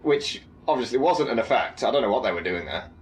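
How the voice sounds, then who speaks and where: A man's voice: medium pitch, lively intonation, brisk, slight background noise. A man who made and acted in the film, talking casually on a cast commentary. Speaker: filmmaker and actor on a cast commentary.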